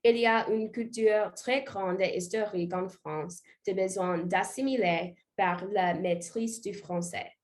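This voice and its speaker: Brief: woman as a measured male ministry official, slow and formal. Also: mid-range voice, fairly even intonation, lightly acted. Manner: slow, formal, reads figures. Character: male government education official.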